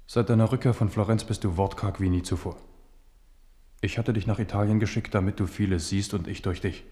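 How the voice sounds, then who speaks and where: An aging French king in a radio drama, low in pitch and commanding. He speaks with regal, sardonic theatricality and a wary edge. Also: steady tone, a little fast, mellow, breathy edge. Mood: sardonic, probing. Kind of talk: radio play acting.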